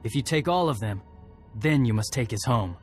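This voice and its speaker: An actor playing a serious, honor-bound tracker in a video game cutscene, talking in clipped, matter-of-fact phrases. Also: male voice, mid-range voice, expressive, moderate pace, faint music behind, close to an everyday voice. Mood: grave, explaining. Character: terse, serious male Mandalorian tracker seeking honor.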